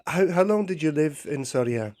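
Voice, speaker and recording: A man's voice: a little high, expressive, moderate pace, a little mellow. A middle-aged man hosting a radio interview, often repeating words and restarting his sentences. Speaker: radio host.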